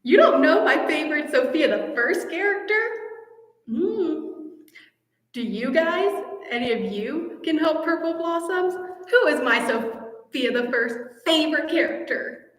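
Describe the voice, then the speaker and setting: Female fan-channel host, high voice, gushing and chatty, echoey reverberant room. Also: lively intonation, measured pace, fairly bright tone. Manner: gushing, enthusiastic fan talk. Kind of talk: casual live Q&A chat.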